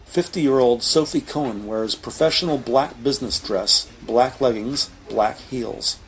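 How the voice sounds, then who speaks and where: A man narrates an audio drama from a script, describing people and scenes. His voice sits at a medium pitch. There is faint ambient noise behind it. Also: rough recording.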